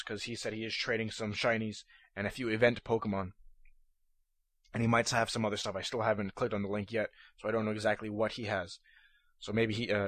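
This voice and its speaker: A young man hosting a casual solo podcast, talking in a monotonous, rambling way full of fillers and restarts, his voice a little hoarse. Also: fairly low pitch, dry recording. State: slightly hoarse. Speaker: podcast host. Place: room at his computer.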